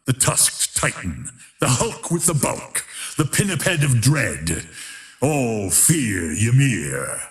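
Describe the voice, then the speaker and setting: A man playing a grandiose, menacing tournament announcer in a video game, narrating in a theatrical, emphatic, drawn-out style. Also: medium pitch, very animated, roomy sound. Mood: grand, dramatic. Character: grandiose, menacing male fighting-tournament announcer of epic legend. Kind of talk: dramatic scripted fighting-game narration.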